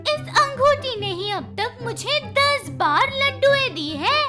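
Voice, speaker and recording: A woman's voice: very high voice, animated, slow, music in the background, voice pushed up, full character voice. A woman voicing an innocent, greedy and stubborn young boy in a children's cartoon story. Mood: innocent, defiant.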